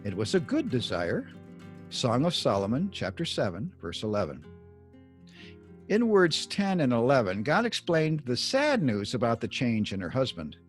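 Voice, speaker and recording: A man reading scripted Bible teaching like an audiobook author, deliberate and emphatic, with measured pauses. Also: mid-range voice, wildly swooping pitch, soft background music. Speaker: author reading own book excerpt.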